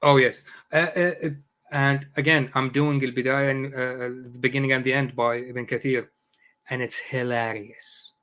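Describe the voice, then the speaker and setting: A man's voice: mid-range voice, little pitch movement, normal speed, sharp tone. A middle-aged man from Iraq, a native Arabic speaker, chatting casually as a podcast guest, jokey and full of hesitant fillers. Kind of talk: casual conversation.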